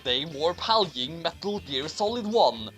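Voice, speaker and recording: Animated man reading an absurd story. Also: fairly high pitch, unhurried, soft background music. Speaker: narrator reading a fan story aloud. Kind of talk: dramatic comedic reading of absurd fiction.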